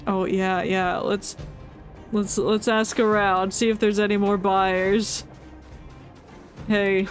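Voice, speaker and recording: A woman's voice: medium pitch, level tone, takes it slowly, soft background music. A woman streaming a video game with casual, reactive commentary and playful, mock-earnest asides.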